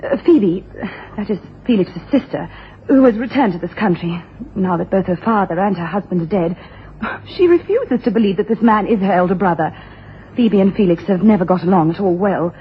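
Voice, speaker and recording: A woman's voice: medium pitch, lively intonation, brisk, natural voice. Female actor as genteel, troubled lady, formal and lilting, dull hissy 1980s radio drama. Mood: earnest, confiding. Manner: formal, hesitant, refined. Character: anxious, genteel upper-class wife seeking help. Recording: dull, noisy old radio broadcast.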